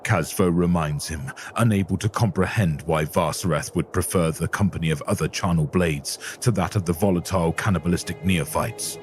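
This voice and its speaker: A man's voice: deep voice, moderate pace, few pauses, a little hiss or hum. A man reading scripted, dramatic narration for an audio story.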